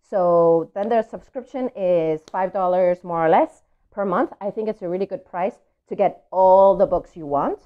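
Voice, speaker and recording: A woman hosting a children's story read-aloud, chatting to viewers in an expressive, child-directed way. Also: on the low side, moderate pace, hardly any pauses, narrow, tinny audio. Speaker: children's story reader and host.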